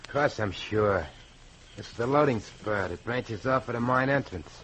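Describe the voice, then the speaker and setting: An actor playing a cold, impatient American robbery ringleader with a curt, tough manner, in 1940s radio style. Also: male voice, mid-range voice, normal speed, a bit bright, natural voice. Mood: confident, brisk. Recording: noisy vintage radio recording.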